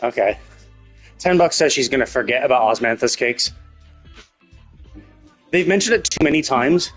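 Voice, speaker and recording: A man's voice: medium pitch, fairly bright tone, slightly rough, rough recording. A man giving casual, speculative game commentary as a live streamer, thinking aloud and often rephrasing himself.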